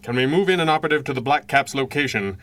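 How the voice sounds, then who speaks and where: A man playing a stern crime-fighting hero who gives brisk, authoritative orders in a radio drama. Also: mid-range voice, a bit bright, close to an everyday voice. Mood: commanding. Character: commanding masked male crime-fighting hero, stern and clipped. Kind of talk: 1930s-style radio drama acting.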